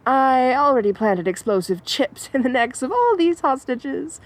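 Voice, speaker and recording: A woman's voice: high voice, lively intonation, a little slow. A woman voicing an obsessive, theatrical female supervillain in an audio roleplay, dramatic and giggly, swinging between coy and menacing. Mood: scheming. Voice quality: dramatic, giggly, sing-song.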